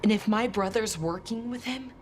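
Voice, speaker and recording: An actress playing a tender, anxious elven woman in a game cutscene, earnest and halting as she speaks about a brother. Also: medium pitch, a little hiss or hum, close to an everyday voice. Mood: wistful, troubled. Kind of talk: dramatic video game cutscene acting. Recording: loud background music.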